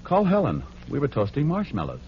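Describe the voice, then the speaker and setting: A man's voice: medium pitch, wildly swooping pitch, fairly quick. An American man playing a cocky, hard-boiled private detective with a glib, sardonic delivery in 1940s radio drama style. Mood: pressing, flippant. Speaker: lead actor narrating and playing the detective. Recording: muffled, noisy old radio recording.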